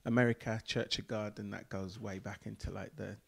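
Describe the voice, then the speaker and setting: A man in his thirties speaks with a low, gravelly voice. He talks easily and conversationally, laughing now and then, into a handheld mic in a small room. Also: fairly quick. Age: 30s. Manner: relaxed, laughs often, many ums. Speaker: church leader, mission coordinator. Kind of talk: casual conversation.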